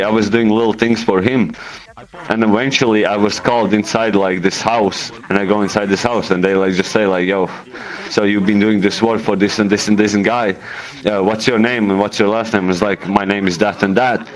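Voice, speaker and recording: A young man with a Latvian accent tells a personal story in casual chat, halting as he searches for words and swearing freely. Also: lowish voice, low-quality recording.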